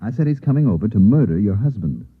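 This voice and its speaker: An old radio recording, narrow and muffled, carries an insistent newspaperman. He is low-voiced and clipped, with lively swings of pitch and melodramatic urgency. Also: fast talker, breathy edge. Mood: urgent. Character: insistent, earnest newspaperman narrating his strange night. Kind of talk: old-time radio drama acting.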